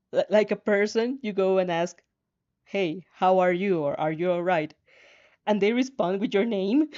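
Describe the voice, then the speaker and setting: A woman with a mellow, relaxed voice reads fan fiction aloud in a casual way. She is giggly, trips over words and drops in her own reactions. The recording sounds a little dull and lo-fi. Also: mid-range voice. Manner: giggly, stumbles over words while reading.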